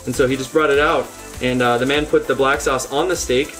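A man in his thirties hosting a home cooking demo, giving earnest step-by-step instructions with deadpan jokes, recorded on a lapel mic in a home kitchen. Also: mid-range voice, fairly quick. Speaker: cooking show host. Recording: music playing underneath.

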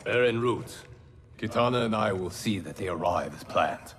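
An actor playing a stern, dark undead warrior commander in a video game. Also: male voice, lowish voice, animated, moderate pace. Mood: curt. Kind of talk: video game cutscene acting.

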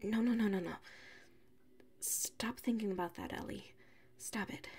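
A woman playing a flustered, defensive female classmate with a secret crush in an ASMR audio roleplay, huffy and halting. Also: medium pitch, a little mellow, slightly rough. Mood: flustered muttering. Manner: halting, huffy, defensive, slips into muttered whispers.

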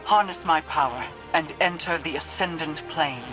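Imperious, low-voiced sorcerer queen. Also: moderate pace, fairly bright tone, faint music behind. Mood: commanding. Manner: formal, commanding. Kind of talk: video game voice acting.

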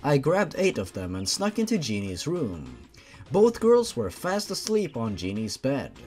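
A man narrates a horror story dramatically. His medium-pitched voice moves up and down a great deal as he reads. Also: wildly swooping pitch, unhurried, a little mellow, faint music behind. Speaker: host reading a fan horror story aloud.

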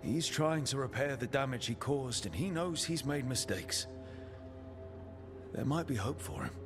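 A man's voice: mid-range voice, animated, a little slow, over music. A man playing an earnest, plain-spoken adventurer in a fantasy video game. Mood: frank, hopeful. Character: earnest, plain-spoken adventurer hero, fair-minded male.

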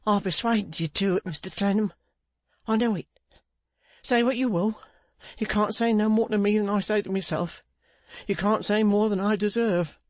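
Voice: medium pitch, fairly even intonation, moderate pace, a little mellow, no echo, voice pushed up, lightly acted. A reader voicing a frantic, remorseful man in an audiobook, exclaiming and pleading deferentially as he punishes himself. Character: frantic remorseful man, agitated, self-punishing, begging for reproach. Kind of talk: audiobook character acting.

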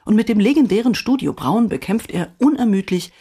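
A woman podcast host giving scripted, dramatic obituary narration with wry, theatrical phrasing and emphatic pauses. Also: medium pitch, lively intonation, dark tone, husky.

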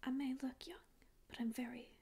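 A woman's voice: high-pitched, fairly even intonation, a little fast, vocal fry, warm tone, breathy edge, exaggerated voice. A woman voicing a grouchy, ancient sleep paralysis demon who secretly cares, speaking in a sarcastic, mock-rude ASMR roleplay whisper close to the mic in a small dim room. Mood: grumpy whisper. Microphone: close ASMR microphone.

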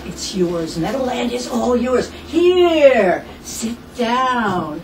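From across an echoey room with noticeable noise, a woman acts a dramatic, quarrelsome daughter in a comic stage play. Her high voice swings widely and lingers on words with histrionic emphasis. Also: very animated, drawn-out words, exaggerated voice. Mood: coaxing. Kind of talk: farcical stage comedy acting. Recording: echoey room, distant mic, clear noise.

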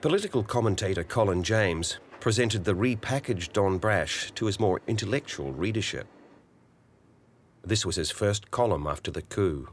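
A man narrating a political documentary in a measured, matter-of-fact way. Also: on the low side, expressive, slight background noise.

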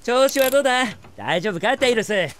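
An actor voicing a cocky, breezy young hedgehog hero with a casual, teasing manner in a video game. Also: male voice, extremely high pitch, expressive, brisk, fairly bright tone.

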